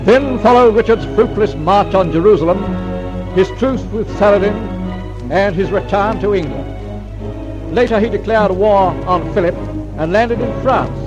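High-pitched male old-time radio narrator. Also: a little slow, over music. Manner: formal storytelling delivery. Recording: dull vintage radio recording.

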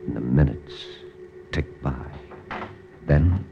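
A noisy old radio drama recording carries a hard-boiled American lieutenant. His voice is very low, and he delivers suspense lines with grim, bitter tension. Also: takes it slowly, vocal fry, dull audio, full character voice. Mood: tense, grim. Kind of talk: 1940s-50s radio drama, first-person suspense narration.

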